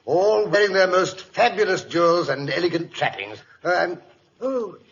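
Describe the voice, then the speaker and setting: An actor playing a genial, fussy older English gentleman who tells stories in 1940s radio style with a British accent. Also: high voice, animated, normal speed. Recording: muffled, noisy vintage radio recording.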